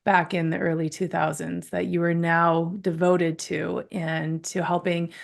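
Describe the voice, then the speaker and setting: Host: woman from Alberta, slightly low, gravelly edge, short affirming replies. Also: level tone, measured pace. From Alberta, Canada. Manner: brief affirming backchannels. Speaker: podcast host. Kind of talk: interview, listening and affirming.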